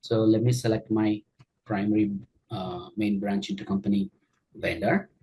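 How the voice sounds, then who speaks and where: A man teaching a software tutorial during a screen share, explaining each step with frequent fillers and check-ins. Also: on the low side, steady tone, moderate pace, husky, highs cut off. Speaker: software trainer.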